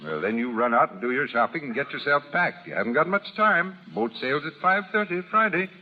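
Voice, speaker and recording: An actor playing a suave, commanding, ardent gentleman in 1930s radio drama style, with a smooth-talking, theatrical manner. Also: a little high, very animated, a little fast, a little hiss or hum. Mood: tender, persuasive. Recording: muffled 1930s radio broadcast recording.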